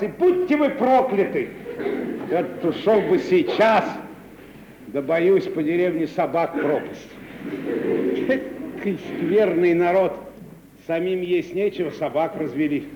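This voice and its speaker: An actor playing a cowardly, grumbling, down-at-heel provincial comedian with a peevish, theatrical manner in a Russian radio play. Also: male voice, a little high, natural voice. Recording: dull, noisy, echoey 1950s radio recording.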